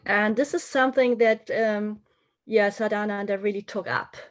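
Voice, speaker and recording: A woman's voice: medium pitch, fairly even intonation, normal speed. A woman guest on a podcast, talking reflectively and searching for her words as she goes. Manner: thoughtful, searching for words, restarts phrases.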